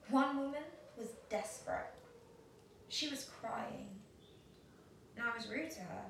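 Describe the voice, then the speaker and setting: An actress plays a weary young council worker who complains and blames herself. Her voice sits slightly high in a naturalistic radio play. It is distant from the mic in a strongly reverberant room, with faint noise. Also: fairly bright tone. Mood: weary, regretful. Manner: exhausted, complaining. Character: weary, self-reproaching young council worker sister home from work. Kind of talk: naturalistic audio play acting.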